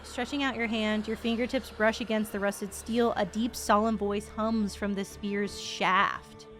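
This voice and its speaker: A woman's voice: medium pitch, steady tone, unhurried, fairly bright tone, faint music behind, natural voice. A woman voicing a grave, tender narrator, reading game text aloud in a theatrical, lingering way. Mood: grave, wistful. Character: solemn storybook narrator of fallen warriors, grave and tender.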